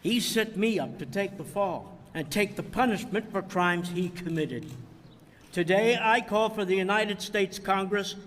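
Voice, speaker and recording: Formal male statesman, accusing and solemn, raised pitch, long reverb. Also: measured pace, fairly bright tone, slight background noise. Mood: grave, accusing. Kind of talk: formal speech, video game acting.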